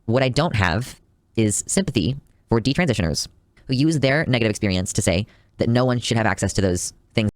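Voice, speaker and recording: A man's voice: mid-range voice, lively intonation, a little fast, dry recording, studio quality. A man in a video, giving an earnest, explanatory scripted commentary.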